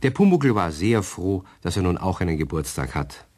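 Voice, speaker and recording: Deep-voiced male storyteller. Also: big pitch swings, moderate pace, a little mellow. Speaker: narrator. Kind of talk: scripted children's story narration.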